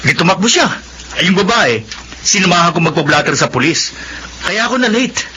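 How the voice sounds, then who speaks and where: An actor playing a glib young spendthrift bachelor with a Filipino accent in a radio drama. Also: male voice, high-pitched, very animated, brisk, pushed and bright, heavily acted. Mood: earnest excuse. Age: young adult. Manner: glib, excuse-making. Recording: noisy radio drama recording.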